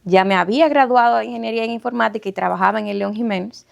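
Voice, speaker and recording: A middle-aged woman with a Dominican accent, the guest of an interview podcast, telling her life story in a lively way with frequent laughter. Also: on the high side, rapid-fire, vocal fry. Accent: Dominican Spanish. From Dominican Republic. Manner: warm, animated storyteller, laughs often.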